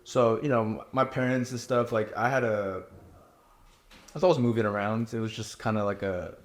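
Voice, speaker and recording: A young man from Korea talks through his answers in a loose, candid way, filling gaps with "like" and "you know". His voice sits low, and the recording carries a roomy echo. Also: moderate pace. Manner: candid, relaxed, many fillers like "you know" and "like". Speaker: reality show contestant. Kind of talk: casual interview answers.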